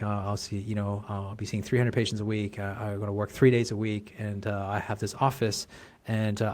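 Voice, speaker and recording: A Canadian man in his forties, a guest on a podcast, telling his story in casual chat with many restarts and quick laughs. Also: on the low side. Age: 40s. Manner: fast, rambling, restarts and laughs. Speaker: podcast guest, chiropractic coach.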